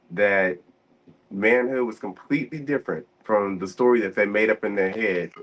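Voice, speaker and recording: A man's voice: fairly low pitch, poor audio. A man talking as a show guest, giving earnest, conversational advice with emphatic repeated phrases.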